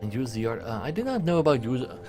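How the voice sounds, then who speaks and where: A man's voice: medium pitch, big pitch swings, fast talker, darkish tone, soft background music. A man livestreaming a game, switching between chatty commentary to his viewers and reading story text aloud as a narrator. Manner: chatty, talking to live viewers.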